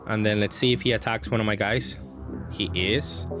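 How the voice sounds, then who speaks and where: A man's voice: fairly low pitch, normal speed, over music. A man giving casual card game commentary, thinking aloud and hedging his choices as he plays.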